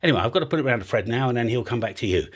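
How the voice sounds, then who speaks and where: Male host, British accent, informal and talkative, swinging pitch, explains by acting out little exchanges. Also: very fast, breathy edge. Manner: chatty, animated, acts out example exchanges. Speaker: ham radio video host. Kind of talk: casual explainer chat.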